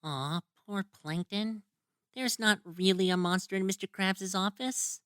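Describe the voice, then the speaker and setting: A man's voice: high-pitched, measured pace, bright, forceful tone, clear, resonant tone, no room sound, studio quality. A man voicing a cheerful, naive young male cartoon sponge who talks in an eager, sing-song way, in a comic fan dub.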